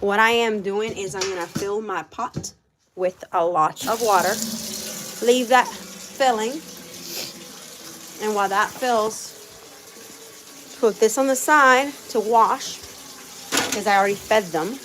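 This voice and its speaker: A woman's voice: medium pitch, slow, pauses often, noisy background. A woman from Puerto Rico chatting with her live viewers while doing chores outdoors with her animals. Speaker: livestream host.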